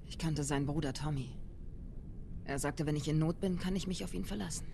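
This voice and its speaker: A woman's voice: sits low, fairly quick, creaky, dark tone, slight background noise. An actress playing a wounded middle-aged female rebel leader in a video game, firm and authoritative. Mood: resolute, reassuring. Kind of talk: dramatic video game acting, German dub.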